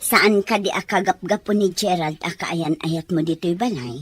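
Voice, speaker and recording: A woman playing an inquisitive, advising mother with a husky voice and a Filipino accent in an audio drama. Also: on the low side, moderate pace, no echo, soft background music. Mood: probing. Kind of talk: Ilocano audio drama acting.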